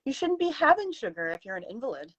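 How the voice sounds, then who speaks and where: Woman reading as a prim, high-pitched scolding Victorian companion. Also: sing-song, quick pace, fairly bright tone, no room sound, lo-fi sound, exaggerated voice. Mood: reproving, brisk. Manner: prim, reproving, emphatic. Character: stern, outspoken Victorian lady's companion, unafraid to scold. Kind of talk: live dramatic story reading.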